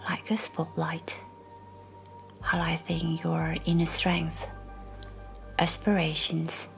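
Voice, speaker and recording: A woman leads a guided meditation in a hushed, breathy voice that stays very even and slightly low. She speaks slowly and gently, leaving long pauses between phrases. Faint music plays underneath. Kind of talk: slow, soothing guided meditation, scripted narration.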